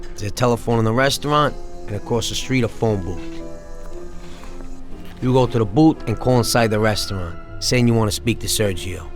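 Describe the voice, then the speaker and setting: A man's voice: lowish voice, moderate pace, faint music behind, natural voice. An actor playing a brash male gangster who talks bluntly in a video game cutscene. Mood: confident. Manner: blunt, street-talking.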